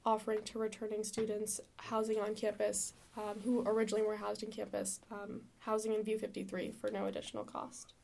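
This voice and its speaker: A woman's voice: medium pitch, vocal fry, a little mellow, slight background noise. A young woman from New York reporting campus news from a recording booth, reading with frequent "um" fillers.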